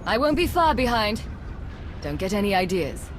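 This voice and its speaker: A woman's voice: mid-range voice, big pitch swings, moderate pace, lightly acted. A woman voicing a composed, commanding agent in a space opera video game, giving decisive, clipped orders over faint ship noise.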